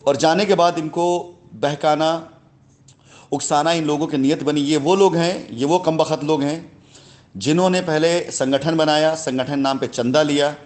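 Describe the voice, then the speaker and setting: A man's voice: fairly high pitch, a little mellow. A man delivering an emphatic, indignant voice note announcement in formal, speech-like phrases, repeating words for stress. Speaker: company head addressing customers.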